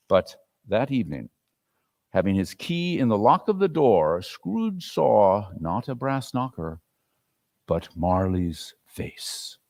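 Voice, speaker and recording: A man narrating a classic story as a dramatic reading, with expressive, theatrical delivery. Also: mid-range voice, vocal fry. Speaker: narrator and performer. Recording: reverberant hall.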